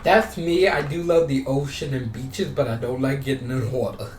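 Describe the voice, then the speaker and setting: A man's voice: medium pitch, normal speed. A man chatting casually as a gaming YouTuber, rambling and repeating his phrases, sometimes reading game text aloud. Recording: roomy, distant mic, steady background noise.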